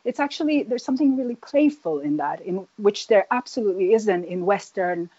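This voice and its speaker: A woman who grew up in Nigeria, an author answering interview questions thoughtfully, with many pauses and restarts. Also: medium pitch, expressive, warm tone, slightly rough, dry recording, dull audio. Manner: hesitant, many fillers and restarts. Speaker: author, guest.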